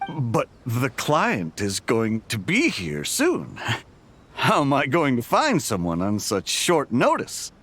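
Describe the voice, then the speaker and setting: A man's voice: medium pitch, wildly swooping pitch, slow pace, a bit bright, slight background noise, full character voice. An actor playing a pushy, dismissive male boss who bargains and wheedles, in a video game. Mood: dismissive, pressuring.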